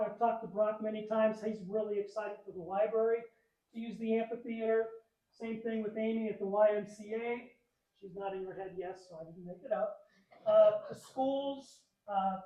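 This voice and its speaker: A man with a notably high voice addresses a banquet in an informal prepared speech. He sounds distant from the microphone, and the room adds a clear echo as he makes his fundraising pitch. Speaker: speaker pitching a fundraising project to club members.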